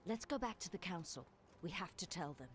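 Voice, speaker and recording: An actress playing a blunt, resentful adventurer with dry wit in a video game cutscene. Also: female voice, fairly low pitch, lively intonation, a little fast. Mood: resigned.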